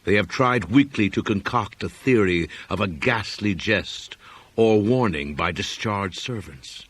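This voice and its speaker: A man with a low, somewhat husky voice reads a horror story aloud. His delivery is solemn and deliberate, in the measured style of scripted audiobook narration. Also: animated, fairly bright tone. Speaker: audiobook reader.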